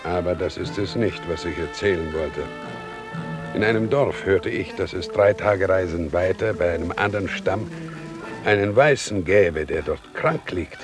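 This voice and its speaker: A man acting in a German radio play as a thoughtful, cautious expedition member with a deliberate delivery. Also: low voice, over music, lightly acted. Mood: musing.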